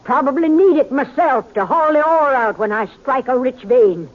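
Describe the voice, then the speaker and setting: A woman's voice: high-pitched, animated, moderate pace. A proud, stubborn old prospector woman of the frontier, talks in folksy, defiant western speech. Her voice sits in a vintage radio recording, thin and narrow like a phone line. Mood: stubborn, assured. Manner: folksy, defiant, rustic grammar. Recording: tinny, band-limited vintage radio recording.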